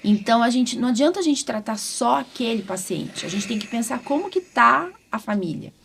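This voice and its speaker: A woman's voice: fairly high pitch, expressive, brisk. A Brazilian woman doctor hosting a podcast, talking informally and often ending phrases with tag questions. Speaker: host and immunologist doctor. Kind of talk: conversational interview podcast. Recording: clearly noisy background.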